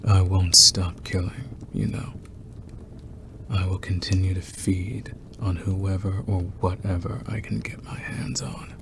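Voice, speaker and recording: A man playing a possessive, seductive and menacing werewolf lover in an intimate ASMR roleplay, teasing in a breathy, gravelly murmur. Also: low voice, flat delivery, a little slow, background noise. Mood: menacing, tender.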